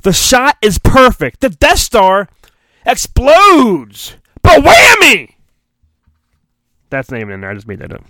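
Theatrical man with dramatically swooping pitch. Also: measured pace, long pauses, no echo. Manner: theatrical, jokey, breaks into deadpan asides. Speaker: podcast host. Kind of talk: mock-dramatic storybook reading with ad-libbed asides.

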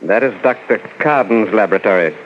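A man acting in a 1940s radio drama as a menacing, coldly controlled stranger with a calm, commanding manner. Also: on the low side, brisk, natural voice. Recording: muffled old 1940s radio recording, noisy.

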